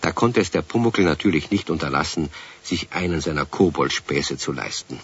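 A man narrating a children's radio play as a calm, expressive storyteller, taking his time. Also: a bass voice, moderate pace, slight background noise. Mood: storytelling. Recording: slightly dull vintage radio recording.

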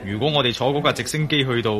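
An actor playing a level-headed, practical adventurer hero in a Cantonese radio drama, firm and decisive. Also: male voice, medium pitch, racing, close to an everyday voice. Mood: serious, practical. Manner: sober, chiding, decisive. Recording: crowd chatter behind voice.

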